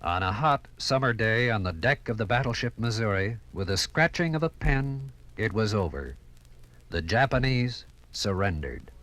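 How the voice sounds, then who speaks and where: A man narrating a 1950s radio documentary in a measured, dramatic style. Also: lowish voice, expressive, fairly bright tone.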